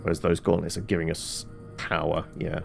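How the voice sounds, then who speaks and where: A man's voice: sits low, flat delivery, moderate pace, creaky, music in the background. A man doing casual gameplay commentary as a streamer, musing aloud and answering his own questions. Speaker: video game streamer.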